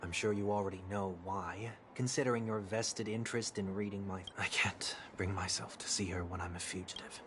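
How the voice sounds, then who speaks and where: A man's voice: lowish voice, steady tone, brisk, hardly any pauses, noisy background, natural voice. An actor playing a composed, guilt-burdened male fugitive in a video game, formal and restrained in speech. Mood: subdued. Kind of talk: video game dialogue acting. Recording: voice over game music. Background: clear background music.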